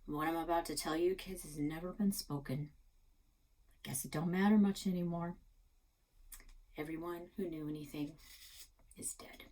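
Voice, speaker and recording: A woman reading a children's storybook, voicing a gruff, grumpy old grandfather with a folksy, plainspoken manner. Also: low voice, fairly even intonation, moderate pace, long pauses, dark tone, rough recording. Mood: solemn. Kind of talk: children's storybook read-aloud.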